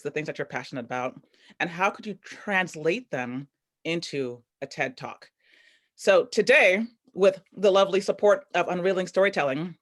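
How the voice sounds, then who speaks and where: Chatty upbeat woman hosting online. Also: low voice. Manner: upbeat, chatty, loose, with many fillers. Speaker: host. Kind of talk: hosting a live online event. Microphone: video call.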